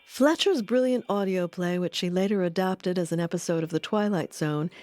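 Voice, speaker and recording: A woman reading scripted documentary narration in a measured, articulate manner. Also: lowish voice, darkish tone, soft background music.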